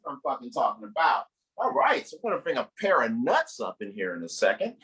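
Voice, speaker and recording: A man works as a stand-up show host, his pitch moving around in a lively way. He banters directly with the crowd in loose, profane language and builds up each act. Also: a little fast, breathy edge. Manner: profane, hyping, addresses the crowd. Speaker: comedy show host and comedian.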